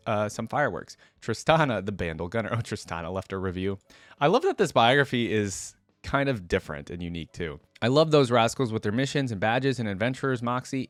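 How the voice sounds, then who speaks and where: A man hosting a reaction video in an informal way, reading passages aloud and pausing to comment on them. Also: medium pitch, dry recording.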